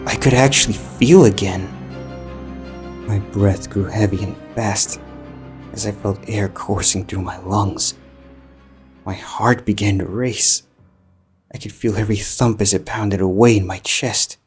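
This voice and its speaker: A man narrating fan fiction in the first person as an anguished, guilt-ridden living book, measured, halting and melodramatic. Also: fairly low pitch, fairly even intonation, soft background music, close to an everyday voice. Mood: awed.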